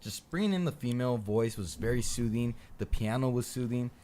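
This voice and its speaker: A man on a panel giving earnest, measured critique of a rap song. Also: medium pitch, animated, warm tone. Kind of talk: casual music critique.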